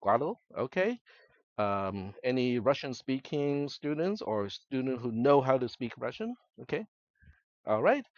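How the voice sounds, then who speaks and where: A man's voice: medium pitch, sing-song, measured pace, no echo, highs cut off. Male instructor with Cantonese as first language. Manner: conversational, checks in with students often. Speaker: college instructor. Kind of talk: classroom lecture. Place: classroom.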